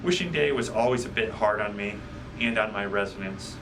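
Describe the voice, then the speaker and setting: A man narrating a children's audiobook in a measured, deliberate way, recorded at a slight distance from the mic. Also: lowish voice, background noise. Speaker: guest storybook reader.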